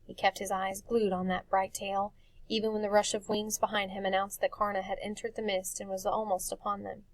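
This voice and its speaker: A woman reading a dark fantasy story for children as expressive scripted narration. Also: mid-range voice, brisk, no echo. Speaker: audiobook narrator.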